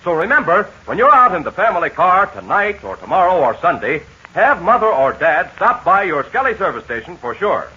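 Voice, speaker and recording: A man announcing a 1940s children's radio serial, pitching eagerly to young listeners in a muffled old transcription. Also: very animated, a little fast, sharp tone, husky.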